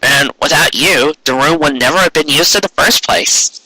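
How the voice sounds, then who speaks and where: Man reading a bright-voiced, gentle fatherly stallion. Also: on the high side, moderate pace, no room sound, low-quality recording. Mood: reassuring. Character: gentle, fatherly married stallion, a devoted husband. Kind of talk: fan fiction audiobook character voice.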